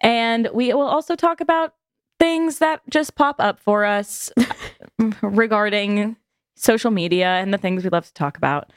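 A woman co-hosting a casual podcast, playful with quick asides and casual swearing. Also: mid-range voice, smooth, no room sound, clean studio sound. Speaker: podcast co-host.

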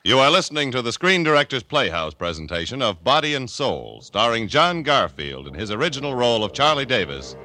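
A man narrating a 1950s radio drama as announcer, with dramatic, measured phrasing. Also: medium pitch, big pitch swings, noisy background. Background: music.

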